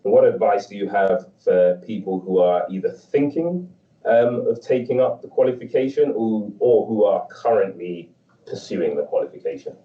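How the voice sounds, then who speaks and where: Deep-voiced male moderator on a video call, measured and chatty, heard from afar in an echoey room. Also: lively intonation. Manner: measured, conversational, fond of "right?". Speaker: panel moderator. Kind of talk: hosting an online panel. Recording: dull, distant, roomy call audio.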